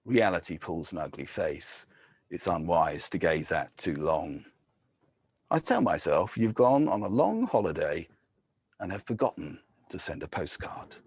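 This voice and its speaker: An English man giving a measured poetry reading, with soft, hesitant asides between poems. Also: deep voice, very animated, breathy edge, no echo. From England. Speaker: poet.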